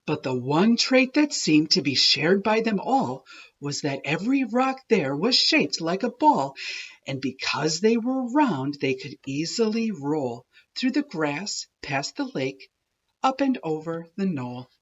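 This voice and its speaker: A woman reading a rhyming children's story aloud in an expressive, deliberate, sing-song way. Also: on the low side, husky, lo-fi sound. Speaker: children's book reader. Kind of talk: animated storybook read-aloud of a rhyming tale.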